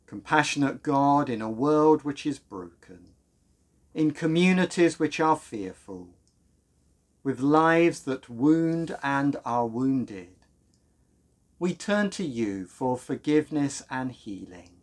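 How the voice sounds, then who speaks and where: Slow-paced British man leading worship. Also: medium pitch, lively intonation. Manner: measured, deliberate phrasing. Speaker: church minister leading a service. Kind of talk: sermon and prayer, reverent delivery. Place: small room at home, indoors.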